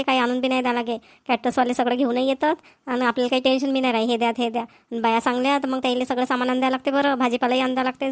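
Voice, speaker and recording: Casting: actress as chatty rural neighbour woman for a cartoon, high voice, brisk delivery, Vidarbha Marathi, practical temper. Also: close to an everyday voice. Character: chatty, practical village women neighbours planning an engagement. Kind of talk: amateur cartoon dialogue.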